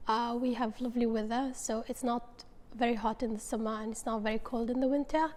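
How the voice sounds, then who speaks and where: Interviewee: young woman from Yemen, high-ish voice, halting, searching for words, long reverb. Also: steady tone, darkish tone. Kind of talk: casual interview answers.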